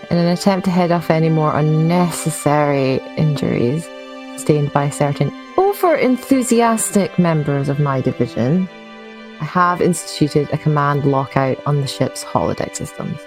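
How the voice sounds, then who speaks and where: Low-voiced woman acting a female starship security chief. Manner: informal, dry, recording a personal log. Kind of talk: in-character scripted log narration. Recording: music under the voice.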